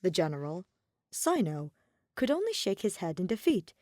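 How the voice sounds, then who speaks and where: A woman reading fiction aloud as an expressive scripted storyteller. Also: lowish voice, normal speed, no echo, studio quality. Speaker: podfic reader.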